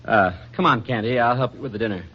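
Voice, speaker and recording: An American actor playing an oblivious, defensive young husband in a 1940s radio comedy, with a colloquial, hesitant manner. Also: male voice, medium pitch, fast, close to an everyday voice. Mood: coaxing, reproachful. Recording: dull, noisy 1940s radio recording.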